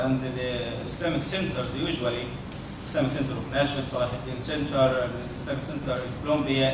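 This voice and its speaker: This is a man, an imam on an interfaith panel. His voice sits slightly high, and he talks in a halting way with repeated words. He is picked up from a distance in a reverberant hall with noise in the background. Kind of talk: unscripted panel discussion. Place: large hall. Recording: echoey hall from far off, noisy.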